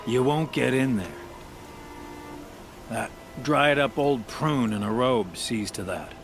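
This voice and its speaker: An actor playing a gruff, bereaved craftsman in a video game, speaking in a blunt, heavy, halting way. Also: medium pitch, faint music behind. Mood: scornful.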